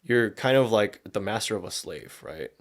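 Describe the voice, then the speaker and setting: A man's voice: lowish voice, animated, moderate pace. A man hosting a podcast, giving casual, opinionated commentary in slangy language full of profanity. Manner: slangy, profanity-laden speech. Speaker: podcast host.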